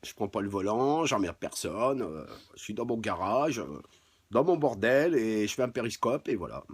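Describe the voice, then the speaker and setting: A middle-aged man with a husky voice, chatting casually and bluntly on a livestream, with crude swearing. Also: on the low side, sing-song, moderate pace. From France. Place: home garage.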